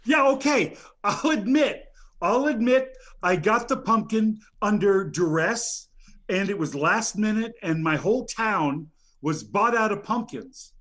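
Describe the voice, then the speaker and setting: A man's voice: high voice, animated, measured pace, a bit bright. A man ranting in an improvised comedy bit, indignant and rambling, stammering through many fillers. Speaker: nominee on a comedy show.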